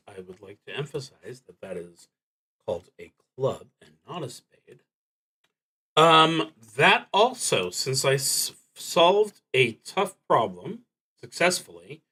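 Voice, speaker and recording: Bright, forceful man narrating a solo game. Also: medium pitch, poor audio. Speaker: solo tabletop roleplaying streamer. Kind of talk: live play narration, thinking aloud.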